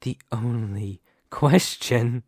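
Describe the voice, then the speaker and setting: Casting: male actor for demon lord, fantasy ASMR roleplay. Mid-pitched, extremely unhurried, dominant, taunting, a touch crazed. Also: darkish tone, dry recording, clean studio sound. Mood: threatening, commanding. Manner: slow, menacing, taunting with drawn-out words.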